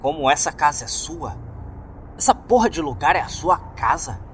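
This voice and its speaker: A man's voice: fairly high pitch, big pitch swings, moderate pace, a bit bright, slight background noise, voice pushed up. A Brazilian man voicing a confused, demanding man trapped in a haunted house, blunt and incredulous, in a horror story. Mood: incredulous, angry. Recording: music bed behind the voice.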